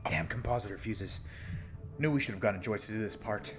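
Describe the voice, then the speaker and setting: An actor playing a nervous, joking older-brother ship pilot. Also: male voice, fairly low pitch, over loud music, natural voice. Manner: nervous, joking, fast-talking bluffs. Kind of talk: fan audio drama acting.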